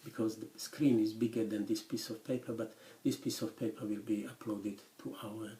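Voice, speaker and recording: A man lecturing university students in English, which is his third language, speaking haltingly with many restarts and pauses. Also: fairly low pitch, steady tone, warm tone. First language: not English; English is his third language after Swedish. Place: lecture room. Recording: echoey classroom recording.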